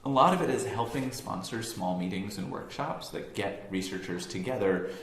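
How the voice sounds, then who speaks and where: An American man from near Boston giving a relaxed, conversational talk to an audience, with frequent ums and self-corrections. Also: on the low side, moderate pace, creaky. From United States, near Boston. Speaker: science organization staff giving a talk. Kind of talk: informal presentation to an audience. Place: room with an audience. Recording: echoey room, faint background hum.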